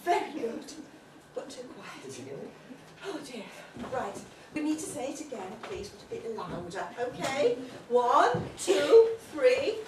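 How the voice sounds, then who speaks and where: A woman's voice: high-pitched, very animated, takes it slowly, heavily acted. A woman playing a fussy, scolding senior fairy in a pantomime, projecting like a schoolmistress. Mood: encouraging. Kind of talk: pantomime stage acting with audience participation. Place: amateur theatre stage in a hall. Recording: echoey hall, distant microphone, noisy.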